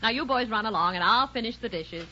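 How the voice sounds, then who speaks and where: High, animated, wry mother. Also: fast. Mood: wry, breezy. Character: breezy, wry, capable working mother. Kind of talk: 1940s radio comedy acting. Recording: muffled, faintly noisy 1940s radio recording.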